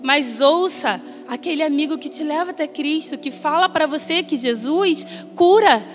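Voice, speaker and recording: A woman preacher with a Brazilian Portuguese accent, reading scripture and giving an earnest, measured sermon that rises in emphasis. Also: sits high. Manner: earnest, measured, rising emphasis on quoted voices. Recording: long reverb, soft music behind.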